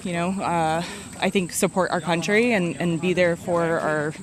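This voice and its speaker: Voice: mid-range voice, fairly even intonation, moderate pace. A veteran in their thirties speaking in a casual interview while on a group hike, friendly and full of fillers like "um" and "you know.". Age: 30s. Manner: friendly, lots of fillers, laughs easily. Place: outdoors on a group hike along a road. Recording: outdoor crowd noise around.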